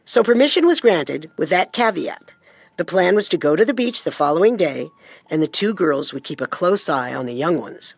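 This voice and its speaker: A woman narrating a scripted true-crime story, measured and with storyteller's emphasis. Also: on the low side, lively intonation, gravelly, dry recording. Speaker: co-host narrator. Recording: music under the voice.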